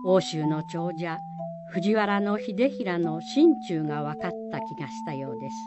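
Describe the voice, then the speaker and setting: A woman narrator tells a scripted radio drama story in a slightly low voice at a slow pace. Music plays clearly behind her. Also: animated. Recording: dull, lo-fi sound.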